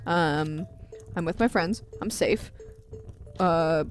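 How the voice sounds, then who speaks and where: A woman's voice: fairly low pitch, slow pace, soft background music. A woman speaking casually as a player improvising her part in a tabletop game. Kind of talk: casual improvised role-play.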